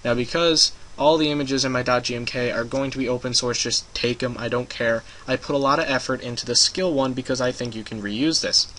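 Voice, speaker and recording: A man's voice: medium pitch, fairly quick, few pauses, fairly bright tone, a little hiss or hum, poor audio. A young man casually narrating a screen-recorded game-making tutorial, rambling and hesitant with many ums and uhs. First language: English.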